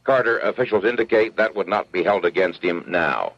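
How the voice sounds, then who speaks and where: A male correspondent delivering a scripted radio news report in a crisp, formal way. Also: low voice, animated, fairly quick, no echo. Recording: dry, dull lo-fi radio audio.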